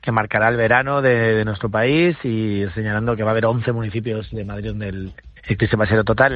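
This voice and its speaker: Halting male reporter on a phone line. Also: fairly low pitch, dry recording. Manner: halting, many restarts and fillers. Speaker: newspaper reporter. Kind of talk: casual radio report. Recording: tinny phone line, dry.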